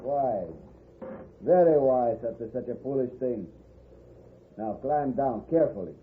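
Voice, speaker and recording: An actor playing an armed, coolly mocking bandit in a radio western. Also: male voice, mid-range voice, lively intonation, a little slow, close to an everyday voice. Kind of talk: 1950s radio western acting. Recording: old radio, narrow band, noisy.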